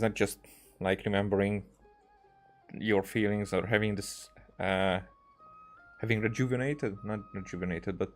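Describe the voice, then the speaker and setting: A man with a fairly low voice chats through a video game. He speaks off the cuff and haltingly, often circling back over his own words as he rambles. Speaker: gaming streamer playing and commenting on a game. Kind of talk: casual unscripted game commentary.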